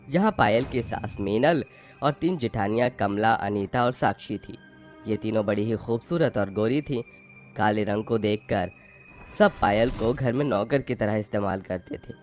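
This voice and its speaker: A man reads a scripted moral tale as narrator. His voice sits fairly low, and soft music plays underneath. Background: faint music.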